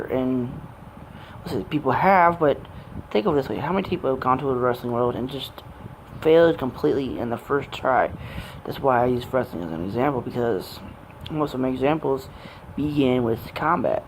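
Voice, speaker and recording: A man in his late twenties talks through an unscripted personal monologue at a middling pitch. His phrasing is halting and meandering, often breaking off. The amateur recording carries clear noise behind the voice. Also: poor audio. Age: late 20s. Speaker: amateur gaming vlogger.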